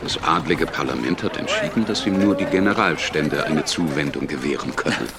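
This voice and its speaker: An actor playing a blunt, worldly lawyer in his late twenties, speaking in a measured, dry way in a radio drama. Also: a bass voice, animated, gravelly, a loud crowd, heavily acted. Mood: blunt, guarded.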